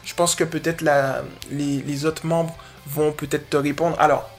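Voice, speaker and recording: A man's voice: fairly high pitch, little pitch movement, measured pace. A man talks off the cuff as a podcast host, over a light bed of guitar music. His delivery is informal and playful, full of slang and stray English interjections. It feels like unscripted advice given straight to one listener. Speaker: podcast host and dog trainer. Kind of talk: casual improvised podcast, chatty advice to a listener.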